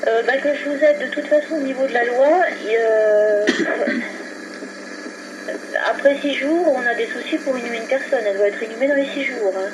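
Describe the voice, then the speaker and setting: Higher-pitched woman operator, noisy phone recording. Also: fairly quick. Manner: matter-of-fact, explanatory. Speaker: funeral helpline operator. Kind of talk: customer service phone conversation. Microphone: telephone line. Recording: noisy low-quality telephone recording from 2001.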